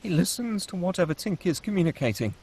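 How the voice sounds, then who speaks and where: A man's voice: sits high, big pitch swings, fast, darkish tone, slight background noise. A man reading screenplay stage directions aloud as the narrator at a table read.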